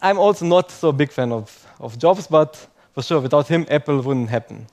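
Young non-native male tech speaker, self-mocking, fairly high voice, tags lines with "okay?". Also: normal speed, darkish tone. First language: not English. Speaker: conference speaker. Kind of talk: conference talk to a live audience.

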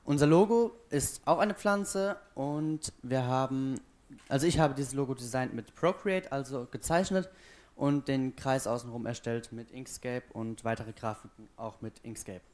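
A man's voice: medium pitch, normal speed, a little mellow. A man from Germany presenting the design of his team's website in a matter-of-fact way. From Darmstadt area, Germany. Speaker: student presenter.

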